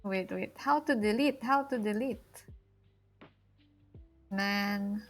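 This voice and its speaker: Woman, game streamer, casual live chat, mid pitch, music in background. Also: takes it slowly.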